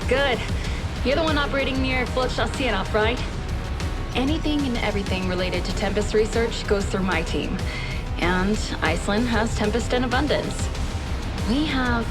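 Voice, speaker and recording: A woman's voice: medium pitch, close to an everyday voice. A woman playing a self-assured head of research in a video game, briefing in a precise, businesslike, articulate way. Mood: brisk, demanding. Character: brisk, self-assured female research scientist. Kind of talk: scripted game mission briefing.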